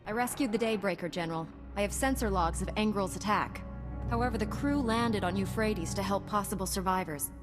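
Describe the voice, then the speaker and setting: A female actor as a heroic space knight in a video game. She speaks firmly and with composure in a medium-pitched voice, music clearly playing behind. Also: a little fast, lightly acted. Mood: resolute, composed. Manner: firm, composed. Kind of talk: dramatic video game acting.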